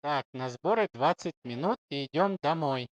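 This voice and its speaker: A man voicing a lazy, joking, grumbling father pig in a children's cartoon story, wry and deliberate. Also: a little high, animated, no echo, dull audio, natural voice. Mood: playful.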